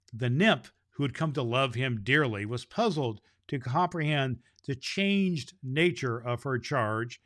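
Slow, jolly man reading as Santa. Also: a little high. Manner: slow, gentle, jolly, stumbles over words. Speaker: Santa Claus storyteller host. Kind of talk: reading a children's storybook aloud.

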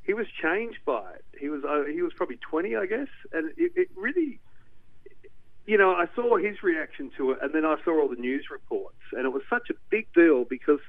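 A middle-aged man with an Australian accent, from Newcastle, talking as an interview guest, animated and rambling with restarts. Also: a little high, a little fast, creaky. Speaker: guest, documentary director. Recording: tinny phone line.

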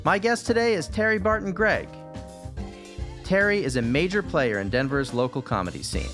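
A man's voice: a little high, lively intonation, normal speed. A man hosting a podcast, reading a scripted upbeat introduction and sign-off notes. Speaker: podcast host. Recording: music bed behind the voice.

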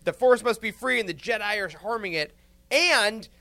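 A man's voice: sits high, expressive, normal speed, pushed and bright, dry recording. A man in a casual roundtable discussion, talking in an emphatic, informal, analytical way. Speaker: podcast panelist.

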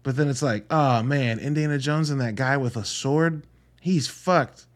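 A man's voice: mid-range voice, a little slow. A man hosting a solo podcast in a casual, enthusiastic and emphatic way, with informal language and wry asides. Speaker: podcast host reviewing films.

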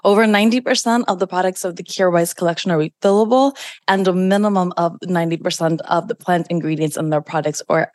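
Effusive woman podcast host, dry and low. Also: a little mellow, no room sound. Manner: enthusiastic, effusive. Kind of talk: podcast interview.

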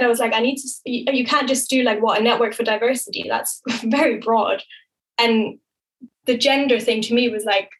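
A young woman who grew up in Thailand, talking casually as a podcast guest, often tagging her points with "right?" and quick laughs. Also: on the high side, a bit bright, poor audio. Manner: chatty, frequent "right?" tags and quick laughs. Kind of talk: casual interview talk.

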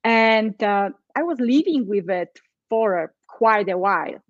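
A woman's voice: mid-range voice, lively intonation, slow. A woman with a Polish accent speaks earnestly, with feeling, as a podcast guest. Her voice is muffled and dull, with a dry sound and no room echo. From Poland. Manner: earnest, tells her story with feeling, acts out quoted voices. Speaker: guest, change and transition coach. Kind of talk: personal story in a podcast chat.

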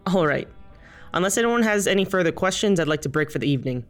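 Actor doing a calm diplomatic boss in a fiction podcast, deliberate and composed, medium pitch. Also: soft background music, natural voice. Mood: composed, firm. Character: measured, diplomatic team leader, careful and firm. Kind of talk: audio drama dialogue.

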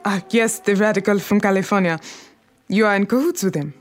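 A woman's voice: medium pitch, fairly quick, natural voice. An actress playing an imperious, formal Harlem numbers queen in an audio drama, poised and commanding, dropping French phrases. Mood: cool, haughty.

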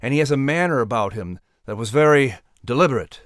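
A man's voice: medium pitch, animated, fairly quick, no room sound, clean studio sound. A man speaking as an announcer and voice actor, explaining in a conversational interview style.

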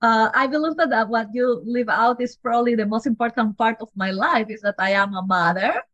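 A woman's voice: fairly high pitch, brisk, fairly bright tone, dry recording, dull audio. A woman from Peru in her forties with a Peruvian Spanish accent, a physician talking as a guest in an interview, laughing lightly and restarting phrases as she goes. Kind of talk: casual interview conversation.

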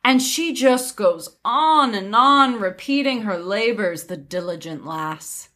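A woman's voice: medium pitch, expressive, slow, fairly bright tone. A woman playing a sly poor widow who gushes about her daughter with disguised sarcasm in a comic fairy tale. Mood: sweetly sarcastic. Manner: well-disguised sarcasm, effusive.

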